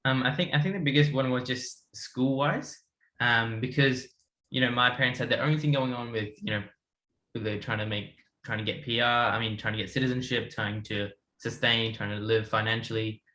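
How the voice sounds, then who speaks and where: A man's voice: medium pitch, fairly even intonation, normal speed, sharp tone, echoey room, poor audio. A man in his twenties from India, telling his story in a rambling casual way with many restarts. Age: 20s. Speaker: guest. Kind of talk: casual panel chat.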